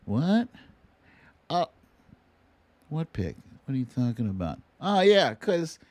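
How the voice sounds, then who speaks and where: A man's voice: a little high, sing-song, slow pace, darkish tone. A middle-aged American man chatting casually and playfully with viewers as host of a livestream. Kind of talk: casual live chat with viewers. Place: home studio.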